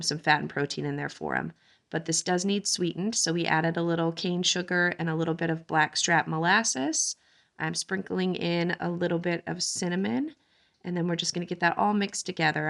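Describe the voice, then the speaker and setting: A woman in her thirties with a lowish voice and a gravelly, fry-touched texture narrates her family's daily routine. She talks in a gentle, easygoing way, slipping in the odd "um" as she walks the viewer through her morning. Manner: gentle, conversational, with frequent "um" fillers. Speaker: homesteading vlogger and mother. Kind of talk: relaxed voice-over narration of a daily-life vlog.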